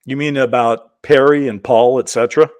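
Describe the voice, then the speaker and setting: A man's voice: mid-range voice, fairly even intonation, moderate pace, darkish tone, smooth, dry recording. Man co-hosting, close dry sound. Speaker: co-host of a show. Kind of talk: informal chat, then cold reading of an interviewer's questions aloud.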